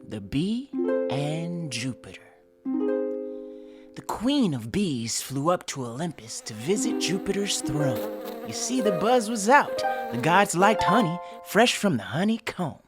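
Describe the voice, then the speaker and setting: A woman narrating scripted fables with deliberate, theatrical storytelling. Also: fairly low pitch, sing-song. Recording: loud music behind.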